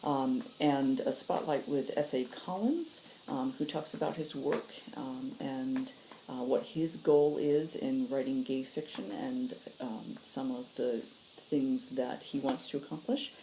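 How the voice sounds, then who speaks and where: A woman's voice: rumbling low, takes it slowly, mellow, slight background noise, low-quality recording. A woman storyteller hosting and reading aloud. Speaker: storyteller, show host.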